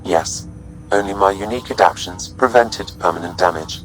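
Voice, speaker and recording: A man acting as a male video game AI with a low voice. He is formal, measured and even-tempered, with music behind him. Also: lightly acted, low-quality recording. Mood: calm, formal. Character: calm, formal male artificial intelligence companion. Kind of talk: acted video game dialogue.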